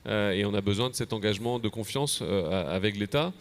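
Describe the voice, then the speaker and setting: Male association official, French from France, low register, rapid halting delivery, conference presentation. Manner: halting, repeats words. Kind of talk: semi-prepared conference panel presentation. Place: convention plenary session.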